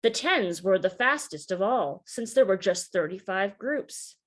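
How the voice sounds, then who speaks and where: A woman reading aloud a story for children in a deliberate, expressive teacherly way. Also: medium pitch.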